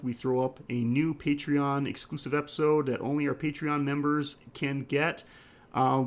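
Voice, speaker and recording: Casual male podcast host with gravelly edge. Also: on the high side. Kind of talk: casual podcast outro chat.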